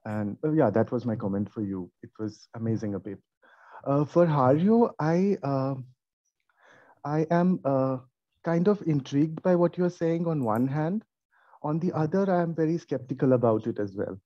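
A man with an Indian accent giving academic comments and questions in a halting, thinking-aloud manner at an online conference. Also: a little high, animated, dry recording. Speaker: conference panel audience questioner. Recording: muffled lo-fi online call.

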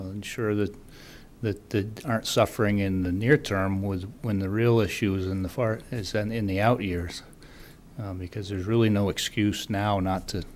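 A man running a town board meeting from a table microphone. His voice sits fairly low and he stops and restarts often, padding with fillers. A faint hum of room noise sits behind him. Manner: halting, with many fillers and restarts. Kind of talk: formal meeting chairing.

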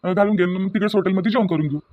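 A man's voice: high voice, rapid-fire, dry recording, muffled recording, close to an everyday voice. A man voicing a young newlywed husband in a cartoon, doting and a little impatient, coaxing insistently in a Varhadi Marathi accent from Vidarbha. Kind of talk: cartoon dialogue acting in colloquial dialect.

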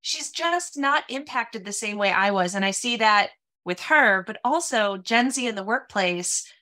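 A woman in her thirties, raised in Minnesota, chatting as a guest on a video-call podcast, animated and full of casual fillers. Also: fairly high pitch, a little slow. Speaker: podcast guest, sales executive. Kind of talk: casual interview chat. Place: home office, small room.

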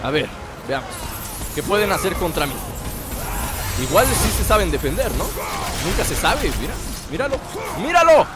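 Young Mexican gamer, stuffy from a cold, high and animated, jokey let's-play host. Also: male voice, normal speed, pauses often. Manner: playful, jokey, bursts into excited shouts. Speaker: gameplay commentator. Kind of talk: casual let's-play commentary. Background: game music.

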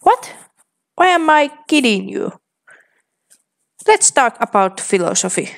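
Slow-speaking young woman. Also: a little high, very animated, vocal fry. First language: Finnish. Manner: slow, deliberate, reading typed replies aloud. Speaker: vlogger. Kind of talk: casual commentary reading chat aloud.

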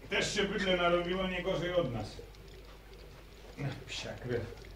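An actor playing a blunt, grumbling grown farmer son, in a vintage radio drama. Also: male voice, high voice, expressive, unhurried, a bit bright, distant mic, slight background noise. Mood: gruff. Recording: echoey old radio recording, off-mic.

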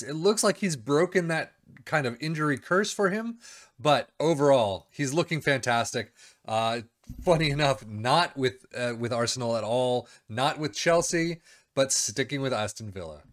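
A man's voice: medium pitch, a bit bright. Middle-aged male gaming creator, mid-range voice, casual commentary, small home room. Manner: chatty, reads stats aloud with asides. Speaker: video game YouTuber. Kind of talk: casual commentary on a game save.

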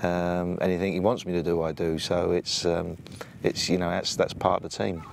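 An English man in his sixties with a booming voice, speaking plainly with many ums and restarts as an assistant football coach in a sit-down interview outdoors by a training pitch. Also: little pitch movement, moderate pace, gravelly, noisy background. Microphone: off-camera mic, no lapel.

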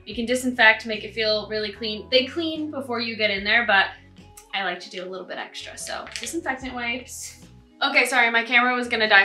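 A young woman in her twenties with a fairly high voice, chatting to her camera in an upbeat, quick way. She sounds a little tired, tossing in asides as she talks. Faint music sits under her voice. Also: sharp tone. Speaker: cruise ship dancer vlogger. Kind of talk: casual vlog talking to camera. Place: hotel room, then small ship cabin. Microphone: camera on a tripod or held at arm's length.

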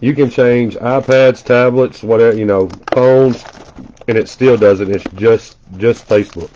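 A man's voice: medium pitch, steady tone, unhurried, dark tone, lo-fi sound, slight background noise. A congested man doing casual live commentary as he opens trading card packs, rattling off player names with chatty asides. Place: indoor room at a table.

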